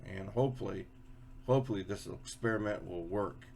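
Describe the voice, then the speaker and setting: A man giving a casual, unscripted hands-on explanation as a hobby video host, halting and often repeating and rephrasing himself. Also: fairly low pitch, gravelly, a little mellow, a little hiss or hum.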